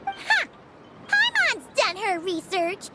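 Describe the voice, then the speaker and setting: A woman voicing a small, excitable, bossy fairy girl companion in a video game, with a childlike squeak. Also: slow pace, heavily acted. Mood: boastful, eager. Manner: refers to self in third person, exclamatory. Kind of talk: English video game voice acting. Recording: loud game music behind.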